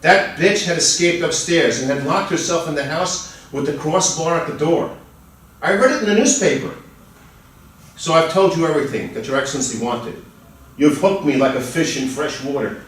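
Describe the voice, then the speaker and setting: A man's voice: a little high, fairly bright tone, close to an everyday voice. Man playing a pleading accused murderer in an echoey hall. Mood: agitated. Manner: emphatic, pleading, drawn-out outbursts. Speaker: reader playing the accused in a play. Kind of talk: dramatic stage reading. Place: large indoor room at a college festival reading. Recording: echoey hall, far from the mic.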